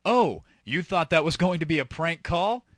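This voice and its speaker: A man's voice: on the high side, animated, normal speed, a bit bright, no room sound, clean studio sound. A man hosting a comedy audio show, talking casually to listeners in a teasing, mock-formal way. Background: background music.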